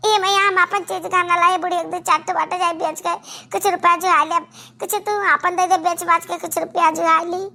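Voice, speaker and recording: A woman's voice: extremely high pitch, flat delivery, moderate pace, vocal fry, bright, forceful tone, exaggerated voice. A woman voicing a melodramatic, scheming rustic village woman in an Awadhi-accented comedy cartoon. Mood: desperate pleading.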